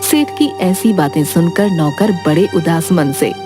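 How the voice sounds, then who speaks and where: A woman's voice: on the low side, a little slow, over music. A woman narrating a scripted children's folk story.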